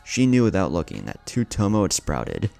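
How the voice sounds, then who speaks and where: A man's voice: low-pitched, wildly swooping pitch, normal speed, gravelly, dark tone, soft background music. A man reading a dramatic scripted story as its narrator.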